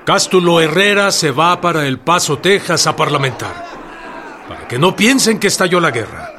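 Radio drama: formal revolutionary leader, high and swooping delivery, measured pace, crowd around him. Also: male voice, sing-song. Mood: commanding. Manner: formal, declamatory. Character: earnest revolutionary president pleading with his troops. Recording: outdoor crowd noise behind.

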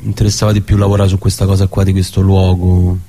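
A man talking as a guest in a radio interview, halting and often rephrasing himself as he explains. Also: low-pitched, completely flat delivery, dark tone. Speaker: theatre director, guest. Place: radio studio.

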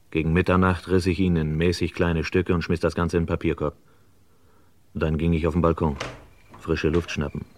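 Laconic low-voiced reporter narrator in vintage crime drama. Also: male voice, a little mellow, slight background noise. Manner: laconic, dry, clipped sentences. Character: wry, cool male newspaper reporter narrating a crime story. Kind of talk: 1950s-80s German crime radio play narration and dialogue. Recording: vintage radio play with music.

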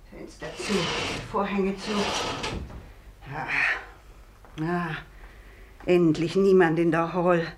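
A slow, fussy, grumbling lady guest. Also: mid-range voice, slight background noise. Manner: querulous, muttering complaints. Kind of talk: 1950s German-language radio mystery acting. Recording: 1950s radio recording with music.

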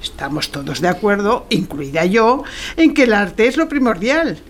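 An actress playing a brisk, bossy woman executive producer in radio theatre, speaking with cutting, emphatic authority. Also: mid-range voice, lively intonation, slight background noise, close to an everyday voice. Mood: businesslike, commanding.

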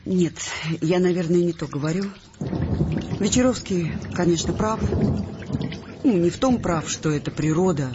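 Actress as a hurt, tender wife, muffled sound. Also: female voice, on the low side, normal speed, pauses often, background noise, close to an everyday voice. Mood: tender, hesitant. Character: Irka, hurt, tender wife of a frightened scientist. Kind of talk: Russian audio play acting. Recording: muffled audio play with music behind.

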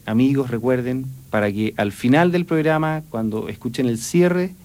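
A man's voice: medium pitch, normal speed, darkish tone. A man hosting a radio music program, speaking directly to listeners in a friendly promotional way from a studio. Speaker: radio host.

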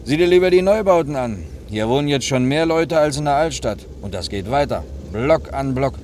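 A man's voice: mid-range voice, lively intonation, normal speed, a little mellow, close to an everyday voice. A mid-range male voice , played by an actor, with faint car noise behind it. He is a reasonable working man in a radio drama, explaining things matter-of-factly with a teasing edge. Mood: mildly impatient. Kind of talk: naturalistic German radio play dialogue.

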